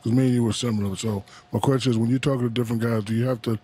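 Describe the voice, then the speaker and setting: Husky dark-voiced guy asking fast, straight to it. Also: mid-range voice, steady tone. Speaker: studio analyst. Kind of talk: sports studio question.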